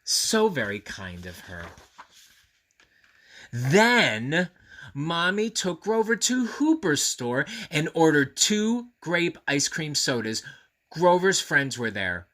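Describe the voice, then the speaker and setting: A bright, forceful male voice reading a children's story aloud. He is expressive and earnest, with animated pitch, and talks straight to his young audience. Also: takes it slowly. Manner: expressive, earnest, speaking directly to young listeners.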